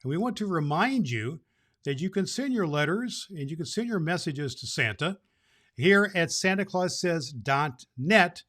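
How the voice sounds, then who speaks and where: A man playing Santa Claus, a jolly old man who reads storybook tales to children and hosts the show in an animated manner. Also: measured pace. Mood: cheerful hosting. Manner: jolly, animated, booming ho-ho laughs. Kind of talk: storybook reading and address to children.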